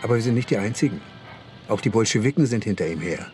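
Actor as a low-voiced, cynical intelligence agent. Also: male voice, lively intonation, fast, darkish tone, faint music behind, close to an everyday voice. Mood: menacing. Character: cynical, menacing British intelligence agent recruiting an informant. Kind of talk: German radio crime drama acting.

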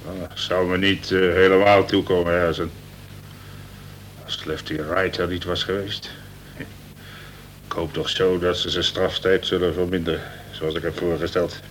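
Actor as low-voiced, wry prison colonel. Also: male voice, flat delivery, moderate pace, pauses often, close to an everyday voice. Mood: wistful. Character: prison governor colonel, older man, dryly ironic, sentimental. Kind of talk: Dutch radio play acting. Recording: noisy old radio recording with rumble.

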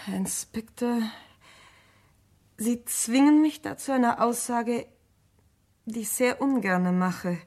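A woman playing a composed, dutiful secretary in a radio crime play, speaking in a precise, measured, formal way. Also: fairly high pitch, darkish tone. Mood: reluctant, earnest. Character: composed, dutiful female secretary testifying to police. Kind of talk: German radio crime drama acting.

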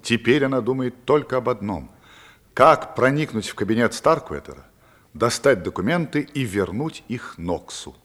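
A male narrator of a radio play. His mid-pitched voice moves with a wide, lively intonation as he delivers scripted, dramatic narration. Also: normal speed.

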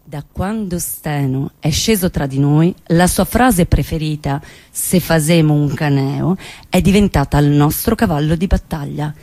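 A woman's voice: low-pitched, measured pace, dark tone, no echo. An actress playing a wry woman who recounts her lovesick teenage years in a dramatic, sardonic theatre monologue. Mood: nostalgic storytelling. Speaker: stage actress.

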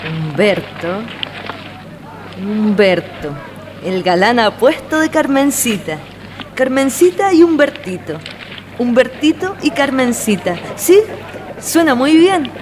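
A woman's voice: a little high, lively intonation, slow pace, darkish tone, long reverb tail, a little hiss or hum. A woman acting a playful, teasing young friend in an amateur radio play, with a Chilean accent and a singsong delivery.

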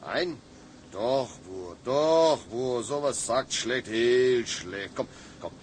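A man playing a gruff, fatherly sea captain in a radio drama, with a German accent and German words mixed in. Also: medium pitch, lively intonation, extremely slow. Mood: coaxing. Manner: mixes German words into Dutch. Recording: radio drama with faint background noise.

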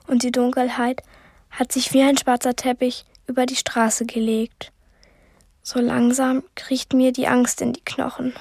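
Radio play lead: plucky girl of twelve, higher voice, dry and sarcastic. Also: level tone, unhurried, a little mellow. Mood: wry, uneasy. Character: plucky, sardonic twelve-year-old girl heroine. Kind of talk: radio drama acting and first-person narration.

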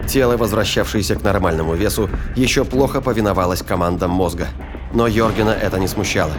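A man's voice: lowish voice, fast, over music. A man narrating a science fiction thriller in a scripted, dramatic storytelling style.

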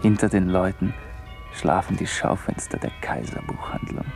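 A man's voice: low-pitched, normal speed, vocal fry, music in the background. A man reading literary scripted narration in a detached, observational manner for a radio play.

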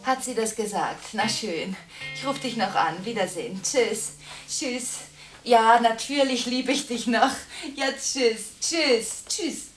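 A high-voiced woman in a radio play, caught in restless phone calls. She is impulsive and contradictory, swerving between protest and brooding evasion, with the urgent manner of someone who cannot stop talking. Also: takes it slowly, music in the background. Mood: hesitant, evasive. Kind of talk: German radio drama monologue, one side of phone calls.

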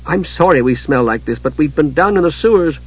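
A man's voice: a little high, animated, a little fast, slightly rough, muffled recording. A man voicing an earnest young mage in an audiobook, polite but urgent and pleading. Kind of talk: dramatised audiobook character.

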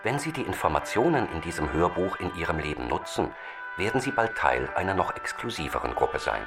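A man's voice: deep voice, very animated, brisk. A man narrating a scripted motivational audiobook. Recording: distant voice with music behind. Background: music.

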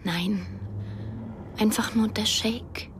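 An actress playing a maimed young Afghan woman in a radio drama, speaking in short, simple, halting sentences with a husky, breathy voice. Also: mid-range voice, steady tone, noisy background. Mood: hushed, secretive. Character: maimed young Afghan woman, hushed, plain-spoken survivor.

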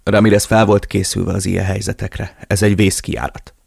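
A man voicing a calm male agent who takes charge with brisk orders. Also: deep voice, gravelly, breathy edge, dry recording, clean studio sound, full character voice. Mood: commanding.

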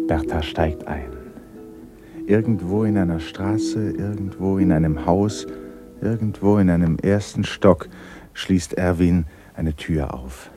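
An actor playing an intimate narrator of a melancholy love story in a 1960s radio play, hushed and measured. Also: male voice, sits low. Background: music.

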